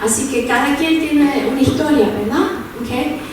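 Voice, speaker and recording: A woman's voice: high voice, moderate pace, far from the mic. Woman lecturing in an echoey room. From El Salvador, raised in San Francisco. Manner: conversational, frequent fillers and tag questions. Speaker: workshop presenter. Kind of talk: informal academic workshop talk. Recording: echoey room picked up from afar with noise.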